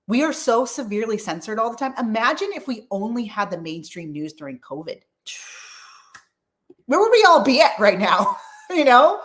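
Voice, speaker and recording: A woman's voice: medium pitch, fairly bright tone. A woman in her thirties from California, talking politics in a rambling, emphatic way with many restarts. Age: 30s. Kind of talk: casual political chat.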